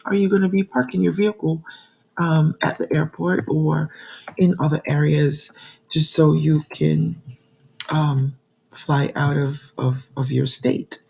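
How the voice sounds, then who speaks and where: A woman in her forties, hoarse from a cold, chatting to viewers about travel costs in a casual vlog with frequent ums. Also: deep voice, fairly even intonation, unhurried, dark tone, rough recording. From New York. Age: 40s. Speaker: budgeting channel host. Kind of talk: casual talking-to-camera vlog.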